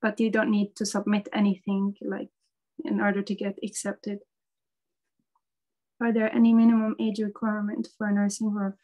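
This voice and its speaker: A woman's voice: medium pitch, monotone, moderate pace, warm tone, rough recording. A woman medical student, not a native English speaker, giving an informal talk over a video call and often repeating words as she restarts.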